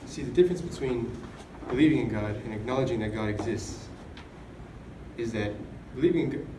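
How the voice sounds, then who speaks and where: A man's voice: mid-range voice, a little fast. A young adult man explaining earnestly in a group conversation. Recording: distant mic in echoey noisy room.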